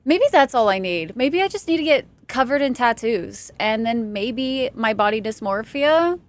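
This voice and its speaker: A young woman from Texas talks to her stream chat while she plays, her voice sitting a little high. She rambles casually, pokes fun at herself and laughs often. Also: expressive, moderate pace. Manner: chatty, self-deprecating, laughs often.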